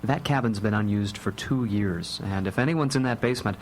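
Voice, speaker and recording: Guy playing a calm jokey dad in a radio play, dry and protective, faint hum in the back. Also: fairly low pitch, natural voice, rough recording. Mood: serious, protective. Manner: dry, deliberate, mock-formal humour. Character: wry, calm, protective father of three. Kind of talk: radio drama acting.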